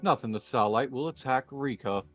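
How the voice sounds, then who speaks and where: A man speaking in a measured, understated way as he plays a roleplay card duel and casually announces his moves. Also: mid-range voice. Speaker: card game duelist player. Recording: dull lo-fi mic, faint music behind.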